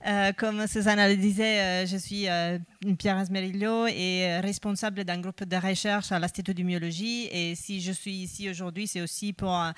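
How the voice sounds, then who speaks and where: A woman's voice: mid-range voice, fairly even intonation, normal speed, few pauses, smooth, dry recording. A middle-aged woman with an Italian accent gives a scientific conference talk in a hesitant way, with many fillers and restarts. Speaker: researcher giving a conference talk.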